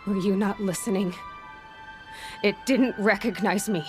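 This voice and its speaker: An actress playing a young outcast huntress in a video game, direct, determined and skeptical. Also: female voice, mid-range voice, a little slow, faint music behind, natural voice. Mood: frustrated, insistent. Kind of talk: naturalistic video game acting.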